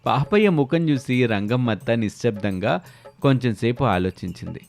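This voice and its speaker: A man's voice: medium pitch, animated, normal speed, creaky, a little mellow, faint music behind. A man reading scripted narration as the storyteller of a moral tale.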